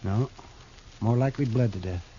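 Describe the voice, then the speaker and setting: An actor playing an excitable, inquisitive Old West town doctor with a folksy manner in 1950s radio drama. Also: male voice, medium pitch, moderate pace, lightly acted. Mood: intent, explanatory. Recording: muffled, noisy vintage radio recording.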